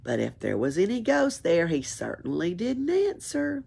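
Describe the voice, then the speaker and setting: A woman with a husky voice reads a children's story aloud in a dramatic, emphatic way. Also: on the low side, huge pitch swings, moderate pace, a little mellow. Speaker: library story reader. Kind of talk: expressive storybook read-aloud.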